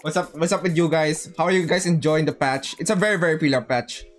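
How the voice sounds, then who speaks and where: A man chatting casually on a gaming livestream in an excitable, slangy way, often repeating his words. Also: a little high, fast talker, soft background music.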